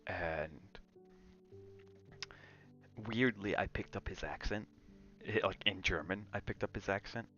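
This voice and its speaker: Deep-voiced man streaming, slow and low. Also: bright, forceful tone, highs cut off, faint music behind. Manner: rambling, drifting between chat and game reactions. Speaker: game streamer. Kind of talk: casual ASMR gaming livestream chat.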